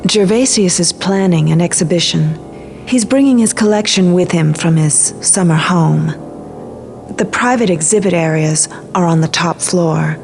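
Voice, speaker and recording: Video game ally: composed, mysterious woman, slightly low register, grave and measured delivery. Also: creaky, darkish tone, soft background music. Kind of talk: video game cutscene acting.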